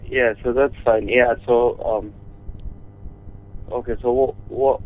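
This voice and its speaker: A man handling a business call over the telephone, polite but halting and often repeating himself. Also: medium pitch, steady tone. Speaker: music lesson company owner. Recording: muffled, narrow telephone line.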